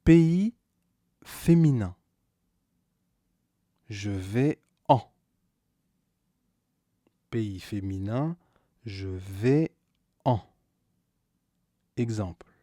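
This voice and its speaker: A man teaching a scripted beginner grammar lesson, slowly repeating each key word and stressing it with a rise. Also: lowish voice, lively intonation, mellow, no echo, studio quality. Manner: slow, deliberate, repeats key words with stressed rises. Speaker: French teacher.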